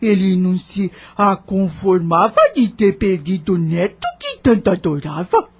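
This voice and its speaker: A woman's voice: mid-range voice, big pitch swings, unhurried, a little mellow, breathy edge, full character voice. A woman playing a kindly, humble old nanny in a Brazilian audio novel, speaking an affectionate rustic dialect. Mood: gossipy, sorrowful.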